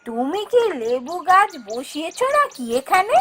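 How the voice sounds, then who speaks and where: A woman voicing a caring, homely village wife in a cartoon fairy tale, with a coaxing, expressive delivery. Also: very high-pitched, normal speed, a little hiss or hum, heavily acted. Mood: musing.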